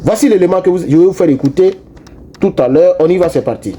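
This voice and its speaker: Animated man with a dark voice. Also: sits high, quick pace, slight background noise. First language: not French. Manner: animated, repetitive, frequent restarts and fillers. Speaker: online commentator. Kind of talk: casual political commentary to viewers.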